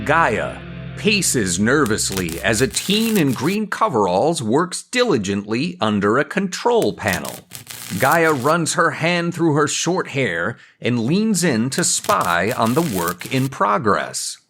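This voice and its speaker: A man's voice: medium pitch, sing-song, slow pace, fairly bright tone, faint music behind. A man delivering scripted narration for an audio drama.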